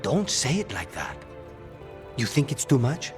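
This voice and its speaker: An actor playing a reserved, sincere assassin in a fantasy game, with an understated delivery. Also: male voice, mid-range voice, sing-song, unhurried, over music. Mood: bashful. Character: reserved, earnest male assassin with a soft heart. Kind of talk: game dialogue acting.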